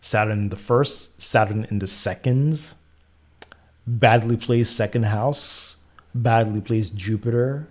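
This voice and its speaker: A man hosting a casual live astrology Q&A, answering viewer questions in a calm, soothing way and thinking aloud. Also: on the low side, slow pace.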